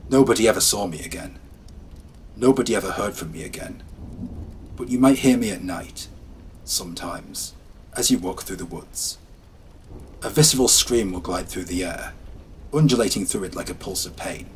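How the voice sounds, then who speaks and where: A man telling first-person scary stories as scripted narration, hushed and ominous at times, livelier at others. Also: fairly low pitch, moderate pace, pauses often, a little hiss or hum. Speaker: storyteller. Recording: faint rain ambience behind.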